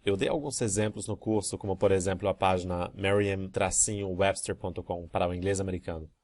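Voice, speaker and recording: A man, a language course teacher, reading out student emails and answering them one by one in a calm, methodical way. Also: sits low, brisk.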